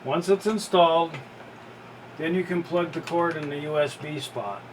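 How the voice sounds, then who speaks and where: A man giving a casual how-to narration in a halting, step-by-step way, as an unboxing video presenter. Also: a little high, background noise.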